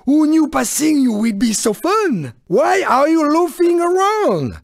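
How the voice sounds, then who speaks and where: A sneaky, irritable little French car from a video game. The voice is high and gravelly, with a French accent and unhurried pacing. Delivery is haughty, exclamatory and theatrical. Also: male voice, sing-song, no room sound, clean studio sound, exaggerated voice. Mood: angry, scornful. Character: small, irritable, boastful, sneaky French male cartoon car.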